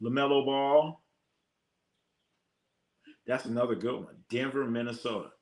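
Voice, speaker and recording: A man's voice: mid-range voice, fairly even intonation, measured pace. Male sports stream host, American, mid-range voice, blunt and slangy, conversational, hammers points by repetition. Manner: blunt, slangy, repeats phrases for emphasis. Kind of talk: casual live sports commentary, opinionated chat.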